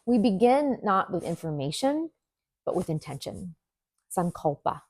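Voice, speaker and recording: A woman teaching yoga nidra, leading a guided meditation in a gentle, soothing manner with deliberate pauses. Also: lowish voice, big pitch swings, husky, no room sound, studio quality.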